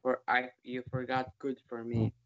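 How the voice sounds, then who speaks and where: A man co-hosting a casual podcast, talking in a halting, deadpan way. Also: medium pitch, gravelly, no room sound, highs cut off. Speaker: podcast co-host. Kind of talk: casual chat ranking wrestlers.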